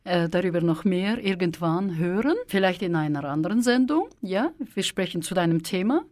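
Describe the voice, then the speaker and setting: Woman, radio host, middle pitch, halting delivery with lots of fillers. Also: expressive, a little mellow. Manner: hesitant, with frequent fillers. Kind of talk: radio interview.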